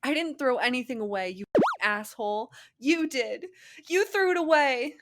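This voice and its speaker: A furious teenage cheerleader acted out by a woman in a dramatic story reading. She bites out and screams her hurt in a raised, loud voice. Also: high-pitched, expressive, moderate pace, a little hiss or hum, pitched above the performer's own voice. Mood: screaming rage. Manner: screaming, biting out words.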